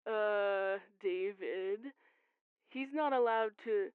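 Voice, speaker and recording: A woman playing a goofy, stoned teenage boy phoning a radio show in an audio drama, loose, slangy and excitable. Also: medium pitch, drawn-out words, clean tone, phone-quality sound. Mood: goofy, uneasy.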